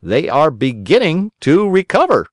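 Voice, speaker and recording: Pompous storybook crow doctor, slow and raised; male reader puts it on. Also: a little high, wildly swooping pitch, dry recording, clean studio sound, voice pushed up, full character voice. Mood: solemn. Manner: solemn, pompous.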